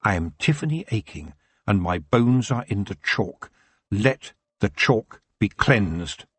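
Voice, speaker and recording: A man reading an audiobook, voicing a determined young female witch who speaks quietly and earnestly. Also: fairly high pitch, big pitch swings, moderate pace, dry recording. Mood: solemn whisper.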